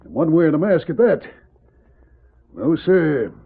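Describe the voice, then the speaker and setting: An actor playing a protective, plain-spoken rancher father in an old radio Western, folksy and blustering, with a gravelly voice. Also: on the high side, very animated, unhurried, exaggerated voice. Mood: wary, protective. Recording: tinny narrow-band vintage radio recording.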